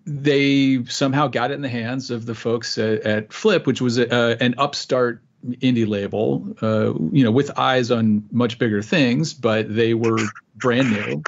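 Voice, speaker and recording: A man's voice: mid-range voice, steady tone, measured pace, muffled recording. A man guest telling stories in a casual interview, easygoing and rambling with lots of restarts. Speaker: guest author and musician.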